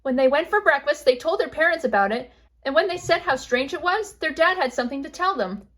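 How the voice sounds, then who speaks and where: High-pitched woman narrating a children's story. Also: lively intonation, brisk, a bit bright.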